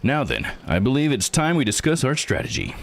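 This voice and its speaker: A man voicing a formal, analytical gentleman treasure hunter from a visual novel, with precise, measured phrasing. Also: mid-range voice, wildly swooping pitch, gravelly, pitched above the performer's own voice. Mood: earnest. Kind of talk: reading visual novel dialogue aloud.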